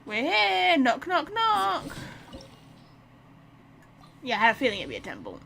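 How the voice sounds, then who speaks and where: A woman's voice: high-pitched, wildly swooping pitch, a little slow, gravelly, sharp tone, a little hiss or hum. A woman game streamer giving casual, playful commentary, chatting and thinking aloud as she plays. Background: video game sounds.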